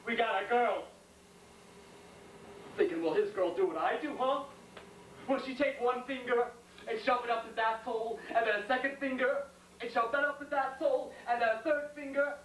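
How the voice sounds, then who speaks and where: A man playing a shaken young American World War II soldier in a dramatic stage monologue, speaking in plain, halting, intense colloquial speech. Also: high voice, lively intonation. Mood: hurt, insistent. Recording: echoey room, distant mic, faint noise.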